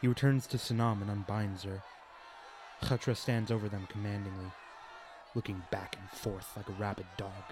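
A man's voice: on the low side, little pitch movement, normal speed. A man reading scripted narration for a radio play, with noisy crowd ambience behind him.

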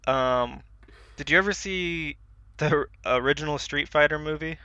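A man's voice: fairly high pitch, unhurried, sharp tone, clear, resonant tone, dry recording. A man co-hosting a podcast in casual, slangy, informal chat, on a slightly dull recording. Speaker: podcast co-host. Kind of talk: casual chat about pop culture.

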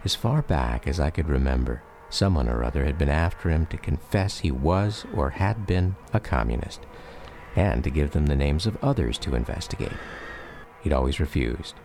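A man narrating a radio drama as a grown son recalling his father, in measured, reflective first-person storytelling. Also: a bass voice, expressive, darkish tone. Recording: faint noise behind.

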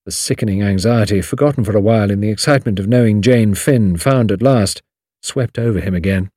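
A man's voice: fairly low pitch, a little fast, warm tone, dry recording, studio quality. A man with a British accent reading a novel as scripted audiobook narration.

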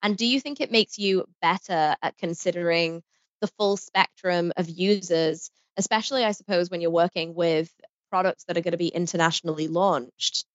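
A woman acts as podcast host, guiding a panel interview. Her voice sits somewhat low and her delivery is effusive and conversational. The sound is dry and a bit muffled. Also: normal speed, dry recording.

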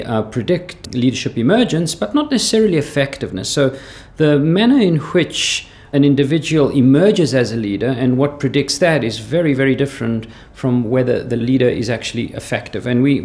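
South african guy, professor type, bit gravelly, talks it through slow with lots of ums. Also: medium pitch, darkish tone. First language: Greek heritage, born in South Africa. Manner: measured, thoughtful, with frequent "uh" and "um" pauses. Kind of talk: explaining research to camera.

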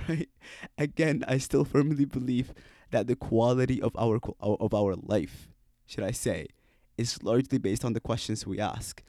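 A man's voice: medium pitch, mellow, dry recording, studio quality. A man who speaks Somali as his first language hosts a solo podcast, talking casually and playfully and asking many rhetorical questions. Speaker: podcast host. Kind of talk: solo reflective podcast monologue.

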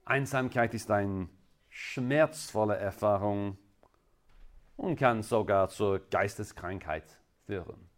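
A man from England with an English accent, a native English speaker preaching as a guest in halting, deliberate non-native speech. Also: lowish voice. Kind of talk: sermon.

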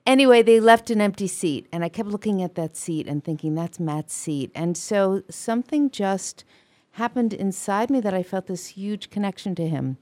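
An older woman, a guest author on a radio interview, telling a story earnestly in her loud voice. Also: mid-range voice, moderate pace, no echo.

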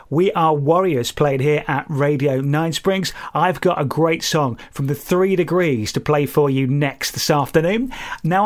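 A British man presenting a music radio show in a chatty, jokey, self-deprecating way. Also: on the high side. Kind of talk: music radio links with light anecdotes.

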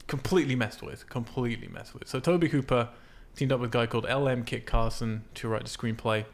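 Guy hosting a movie podcast, kinda creaky voice, mid pitch, swears, just explaining stuff casually. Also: steady tone, fairly quick. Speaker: film podcast host. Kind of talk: casual film discussion from notes.

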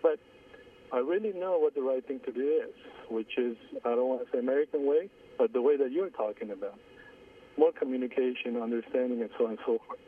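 A man in his forties with an Iranian Armenian accent, calling in to a radio show, hesitant and halting, repeating his words. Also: medium pitch. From Iran. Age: 40s. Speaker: caller. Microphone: phone line. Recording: distant husky phone line.

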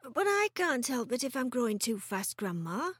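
A woman acts a small boy of eight in a children's story. He is anxious and hesitant, pleading in a high, lively voice. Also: moderate pace, husky, dry recording, studio quality. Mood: protesting. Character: timid eight-year-old grandson, anxious and protesting.

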